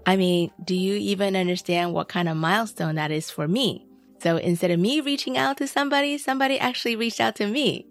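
A woman's voice: medium pitch, a little fast, faint music behind. A woman with an American accent hosting a casual interview in an informal, chatty way. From United States, San Francisco Bay Area. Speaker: radio podcast host.

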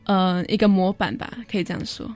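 A woman's voice: fairly low pitch, level tone, a little fast, darkish tone, soft background music. A teenage female student with a Taiwanese Mandarin accent, answering interview questions hesitantly as she feels for her words. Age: teens. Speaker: high school student guest.

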